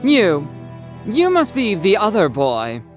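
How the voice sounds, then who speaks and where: A narrator voicing a stern, impatient, angry mother who scolds teenagers in a brisk, commanding way for an audio story. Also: mid-range voice, huge pitch swings, over music, pitched above the performer's own voice. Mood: stern, suspicious. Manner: brisk, commanding, sharp questioning.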